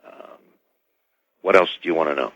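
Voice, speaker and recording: Very deep-voiced man, dull lo-fi line. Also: dry recording. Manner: halting, technical phrasing. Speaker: expert guest on radio show. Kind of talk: technical explanation in live interview. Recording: dull lo-fi radio phone-in line.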